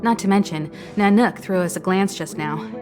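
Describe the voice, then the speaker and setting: A woman's voice: medium pitch, moderate pace, over music, lightly acted. A woman playing a composed, caring crew leader in a video game, speaking articulately and reassuringly. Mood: calm, guiding. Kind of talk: game cutscene exposition.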